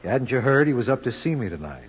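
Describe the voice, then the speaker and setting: An actor playing a wry, quick-witted American lawyer sleuth in an old-time radio detective drama, with slangy, folksy wisecracks. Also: male voice, medium pitch, big pitch swings, husky. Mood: wry, needling. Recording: dull old radio recording with music.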